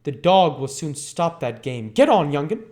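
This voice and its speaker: A man's voice: a little high, sing-song, moderate pace, a little mellow, voice pushed up. A man voicing a brutal, menacing thief who growls threatening commands in a storybook reading. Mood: grim, menacing. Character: brutal, menacing adult male thief bullying a boy. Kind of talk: storybook character voice.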